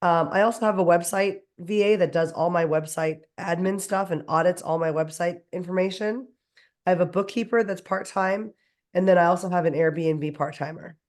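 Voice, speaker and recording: Woman, hoarse, has a cold, lowish voice, enthusiastic, casual panel talk. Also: normal speed. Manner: enthusiastic, talks in long lists with restarts. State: hoarse from a cold, losing her voice.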